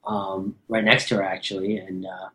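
A man's voice: sits low, level tone, normal speed, a bit bright, low-quality recording. A man co-hosting a podcast over a remote call, chatty and rambling with many fillers. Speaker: podcast co-host. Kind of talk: casual podcast chat.

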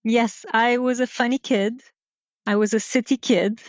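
Slow, reflective woman from Turkey. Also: a little high, steady tone, no room sound. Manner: unhurried, reflective, with many pauses and fillers. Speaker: guest designer. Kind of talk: casual interview storytelling. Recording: dull lo-fi sound.

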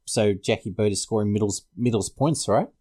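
A man's voice: lowish voice, moderate pace, warm tone. An Australian man hosting a sports podcast, casually discussing fantasy rugby league.

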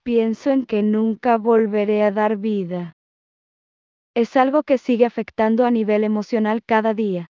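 A woman reading a text aloud phrase by phrase, then repeating each phrase slowly and calling out the punctuation marks. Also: a little high, fairly even intonation, dry recording, muffled recording.

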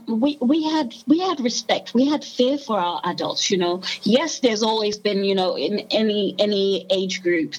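A woman's voice: medium pitch, poor audio. A woman in her thirties from Zimbabwe, a podcast guest casually recalling her own life with reflective, qualifying asides. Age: 30s. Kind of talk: casual personal recollection.

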